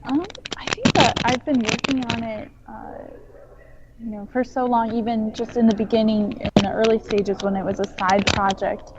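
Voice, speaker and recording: A woman speaking as a startup founder on an interview show, soft-spoken and a little hesitant. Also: fairly high pitch, fairly even intonation, normal speed. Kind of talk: casual interview answers. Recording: dull lo-fi sound with faint noise.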